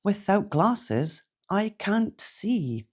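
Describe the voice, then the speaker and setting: A woman's voice: mid-range voice, very animated, very slow, a little mellow, slightly rough, voice pushed up, full character voice. Woman voicing a young, earnest storybook fairy girl. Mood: tearful, sad. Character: young clumsy anxious female fairy who becomes delighted.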